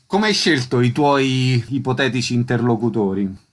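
A man hosting a radio interview, introducing and questioning his guest in a measured way. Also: mid-range voice, lively intonation.

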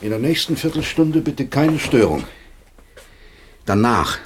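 A man playing a guarded, formally courteous clinic professor in radio drama dialogue, brisk in manner. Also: medium pitch, sing-song, slight background noise. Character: guarded, polite clinic professor under surveillance.

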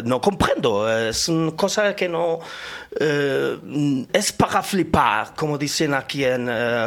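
A man's voice: fairly high pitch, fairly bright tone, heavily acted. A man playing a hesitant German professor. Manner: hesitant, full of filler sounds. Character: rambling German male academic researcher. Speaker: interview guest.

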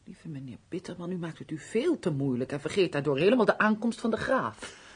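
An actress playing a gentle, melancholy castle housekeeper in a Dutch radio play, speaking in an earnest, refined, pleading manner. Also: female voice, fairly low pitch, expressive, fairly quick, a little hiss or hum, lightly acted. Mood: brisk, practical.

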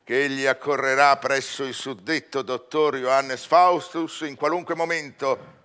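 An actor playing a proud, restless scholar in a theatrical verse radio drama, declamatory and swinging between hushed and loud delivery. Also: male voice, medium pitch, fairly even intonation, a little slow, clear, resonant tone. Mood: commanding.

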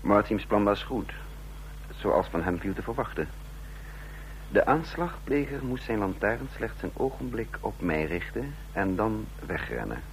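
An actor playing a scheming, self-assured undercover officer who narrates a radio drama in a clipped, deliberate, commanding way. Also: male voice, fairly low pitch, big pitch swings. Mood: wry, confiding. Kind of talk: Dutch radio drama acting with first-person narration. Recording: noisy radio drama recording with hum.

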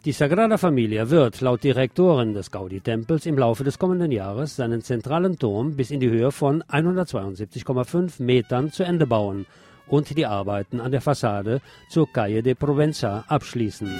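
A man presents scripted news in a mellow, relaxed voice at a middle pitch. Faint music runs beneath him as he moves through reports and pointed commentary.

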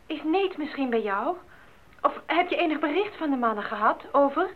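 Bezorgde zendelingenvrouw, smalle telefoonklank. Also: female voice, high voice, expressive, moderate pace. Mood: anxious, controlled. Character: worried missionary wife at a jungle radio post. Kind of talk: Dutch radio drama acting. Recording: muffled, narrow phone-like radio play recording.

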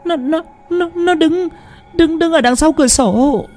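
A man's voice: sits high, big pitch swings, moderate pace, warm tone, breathy edge, soft background music, voice pushed up, heavily acted. A man voicing a terrified young wife who stammers and repeats her words in panic.

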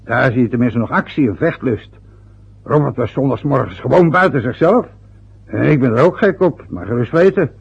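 A grumbling, husky father. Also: mid-range voice, lively intonation, moderate pace, heavily acted. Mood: reminiscing. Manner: grumbling, reproachful. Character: middle-aged father, bitter and boastful. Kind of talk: radio play acting. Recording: dull lo-fi old radio recording.

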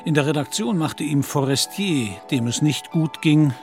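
A man narrating a literary radio play as a composed storyteller, measured and articulate. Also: on the high side, expressive, darkish tone, faint music behind. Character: composed male storyteller of a Parisian novel.

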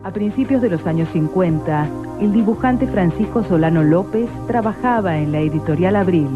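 A woman's voice: lowish voice, animated, normal speed. A woman reads scripted documentary narration. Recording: thin phone-like sound, loud music behind. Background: music.

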